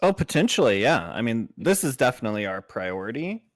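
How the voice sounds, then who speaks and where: A man playing a tabletop game, chatting casually in character in a measured, agreeable and polite way. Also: mid-range voice, very animated, dry recording, clean studio sound. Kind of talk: casual in-game roleplay chat.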